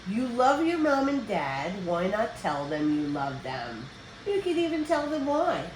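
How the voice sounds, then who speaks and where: Older woman reading slowly, very animated. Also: fairly high pitch. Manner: simple, deliberate phrasing aimed at young children. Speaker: storyteller reading to children. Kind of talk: expressive read-aloud of a children's picture book. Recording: roomy echo with background noise.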